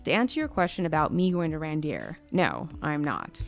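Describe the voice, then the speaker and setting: A confident female executive in her fifties, played by an actress, records a chatty log for a faraway pen pal. Her voice sits slightly low, with a wry, teasing edge, over music in an audio drama. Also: wildly swooping pitch, normal speed, gravelly, heavily acted. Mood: content, curious. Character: wry, confident 57-year-old female space company executive. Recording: music under the voice.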